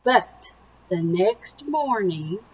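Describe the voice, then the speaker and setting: A woman reading a picture book aloud to young children with animated emphasis and simple explanatory asides. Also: mid-range voice, extremely slow, breathy edge, lo-fi sound. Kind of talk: slow, expressive children's read-aloud.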